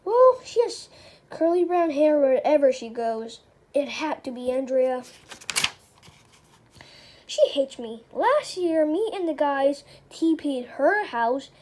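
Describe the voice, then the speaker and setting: High-pitched voice reading a children's book, female. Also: a little mellow. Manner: stumbles over words and rereads phrases. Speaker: read-aloud reader. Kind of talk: children's chapter book read-aloud.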